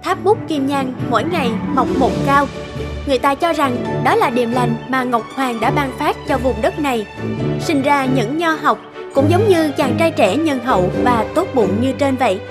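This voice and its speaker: A woman's voice: sits high, lively intonation, normal speed. A woman narrating a fairy tale in a scripted storytelling style. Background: loud background music.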